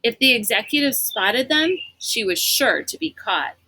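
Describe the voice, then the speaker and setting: Female read-aloud narrator, higher-pitched, bright and forceful, expressive storybook style. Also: measured pace, husky, no room sound, rough recording.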